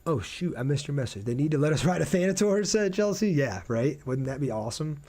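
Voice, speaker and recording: A man's voice: a little high, lively intonation, fairly quick, a little mellow. A man doing casual live gameplay commentary as a streamer, chatty, thinking aloud and reading out viewer messages.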